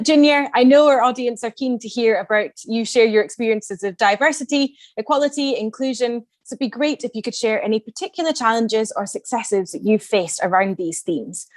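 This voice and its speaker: A woman's voice: fairly high pitch, dry recording. A woman facilitating a careers event, introducing the session in a polished, read-out way.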